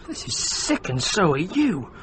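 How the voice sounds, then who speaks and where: An actor playing an irritable, worn-out, fearful stranded traveller in a radio drama. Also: male voice, high voice, sing-song, a little slow, fairly bright tone, a little hiss or hum, heavily acted. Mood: irritated. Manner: exasperated, snappy.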